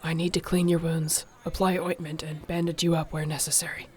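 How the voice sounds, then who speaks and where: A woman playing a protective, tender orc warrior girlfriend in an intimate audio roleplay, speaking in a soft, earnest way. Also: sits low, level tone, fairly quick, lightly acted. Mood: gentle, reassuring. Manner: soft, earnest, soothing, partly whispered.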